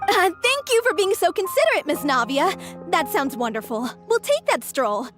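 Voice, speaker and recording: An actress voicing a sensible, brisk young woman from a theater troupe, in game dialogue. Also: very high-pitched, big pitch swings, over music. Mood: polite.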